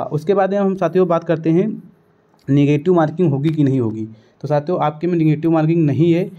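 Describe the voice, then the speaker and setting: Dull lo-fi recording, higher-pitched man teaching. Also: dark tone. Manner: instructive, repeatedly addressing listeners as friends. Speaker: exam-coaching teacher and channel host. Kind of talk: informal strategy lesson to students. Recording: dull, lo-fi sound.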